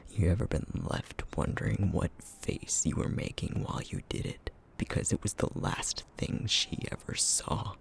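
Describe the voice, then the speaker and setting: A man playing a brooding, guilt-ridden essence-eating creature in an ASMR roleplay drama, bitter and sarcastic, with a breathy, gravelly half-whisper. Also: low-pitched, sing-song, normal speed, hardly any pauses, a little hiss or hum, heavily acted. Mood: anguished, accusing.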